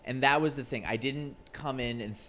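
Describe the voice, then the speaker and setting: A man's voice: mid-range voice, moderate pace, fairly bright tone, slight background noise. Earnest man turned thirty. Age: 30s. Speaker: guest comedian. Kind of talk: casual podcast chat.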